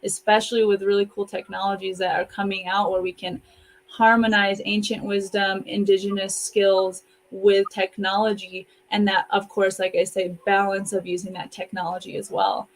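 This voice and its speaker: A woman's voice: medium pitch, level tone, moderate pace, low-quality recording. A woman hosting in a casual, reflective conversational style, speaking over an online video call. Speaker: host and co-author.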